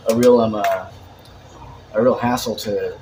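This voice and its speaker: A man's voice: lowish voice, fairly even intonation, normal speed, noisy background. A man in casual chat, talking in a rambling way with lots of "like" and restarts.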